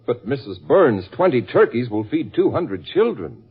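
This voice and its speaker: Husky, polite charity official. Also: male voice, medium pitch, sing-song, moderate pace. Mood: disbelieving. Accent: American. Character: polite, incredulous charity official, mild and patient. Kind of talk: 1940s radio comedy acting. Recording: muffled, rough old radio recording.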